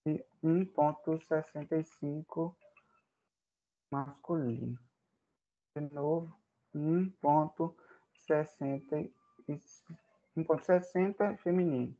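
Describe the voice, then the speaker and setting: Give me a man talking through his code as he types, a bit high-pitched, dull recording. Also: fairly even intonation, slow, long pauses, warm tone. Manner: thinks aloud while typing inputs, frequent "né?". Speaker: student presenting code. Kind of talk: live coding walkthrough.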